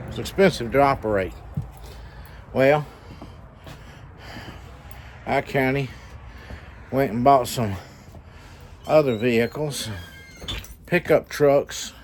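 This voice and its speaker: A man with a slightly scratchy throat, rambling folksily in a casual vlog with lots of fillers. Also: medium pitch. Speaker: vlogger. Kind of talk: casual rambling monologue to camera.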